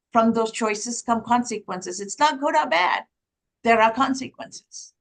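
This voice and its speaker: Woman from Sri Lanka, first language Tamil; earnest speaker at an academic session, asks rhetorical questions, voice on the high side. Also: fairly bright tone. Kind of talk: lecture-style talk.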